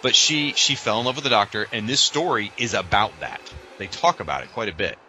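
A man's voice: fairly low pitch, animated, fairly quick, pushed and bright, slight background noise, poor audio. A man co-hosting a podcast, talking casually and emphatically with a fan's strong opinions. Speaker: podcast co-host. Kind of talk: casual fan discussion.

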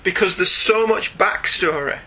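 A man's voice: high-pitched, unhurried, bright, forceful tone, slightly rough, low-quality recording. A chatty, enthusiastic man co-hosting a podcast, talking casually and reading out listener emails. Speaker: podcast co-host. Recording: faint background noise.

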